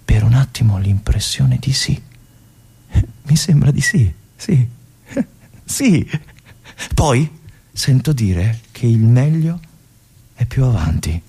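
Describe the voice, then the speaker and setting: An actor playing an aging, lonely army lieutenant in a theatrical radio monologue, reflective and resigned, with long pauses and soft whispered asides. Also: male voice, mid-range voice, very animated, creaky, husky, heavily acted. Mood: wistful, reflective. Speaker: stage actor.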